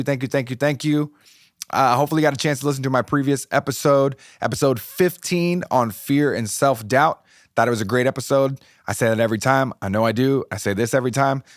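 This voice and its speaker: A middle-aged American man hosts a motivational podcast on his own. His lower-pitched voice is slightly raspy, and he talks in an informal, encouraging way, repeating phrases to drive them home. The sound is dry, with almost no room on it. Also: no room sound. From United States, California. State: slightly raspy voice from a passing illness. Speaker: podcast host.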